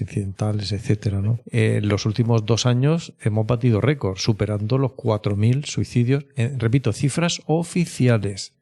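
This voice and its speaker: A man with an accent from Spain speaks as an expert interview guest, explaining in a measured, conversational way and often checking in with short tag questions. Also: lowish voice, creaky, mellow. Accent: Spanish from Spain. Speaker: clinical psychologist, interview guest.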